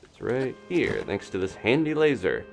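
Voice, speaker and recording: A man streaming a video game, giving casual live commentary and chatting as he thinks out loud. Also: mid-range voice, huge pitch swings, moderate pace, gravelly, soft background music.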